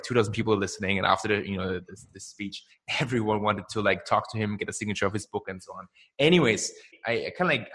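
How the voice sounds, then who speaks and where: A young man from Austria whose first language is German talks energetically as a podcast guest. His voice sits a little low, and he keeps checking in with quick "right?" tags. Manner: energetic, chatty, frequent "right?" tags and laughs. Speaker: guest, marketing founder. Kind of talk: casual podcast interview.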